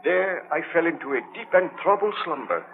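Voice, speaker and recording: Melodramatic obsessive young baron scientist, high-pitched. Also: male voice, animated, fairly quick, vocal fry, background noise. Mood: grave, recounting. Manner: melodramatic, commanding. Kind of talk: 1930s radio acting. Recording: muffled vintage 1930s radio broadcast.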